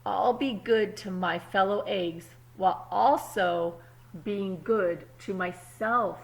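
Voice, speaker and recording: A woman, apparently a teacher, reads a picture book aloud to children expressively, pausing to explain words simply and check in with her young listeners. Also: mid-range voice, vocal fry, low-quality recording. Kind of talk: children's storybook read-aloud with asides.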